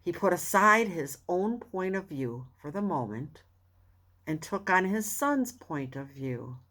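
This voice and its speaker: A woman with a low voice reads a self-help audiobook at a slow pace. Her delivery is earnest and deliberate, using pauses and expressive emphasis to set off important phrases. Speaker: audiobook reader. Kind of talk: expressive scripted reading of a self-help book.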